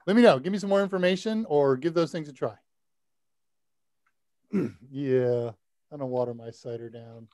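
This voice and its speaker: A man's voice: medium pitch, moderate pace, pauses often, a little mellow, no echo. Older man, dry close sound. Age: older, 60s. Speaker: host of a live tech Q&A. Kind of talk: casual technical advice. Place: indoor room, video call.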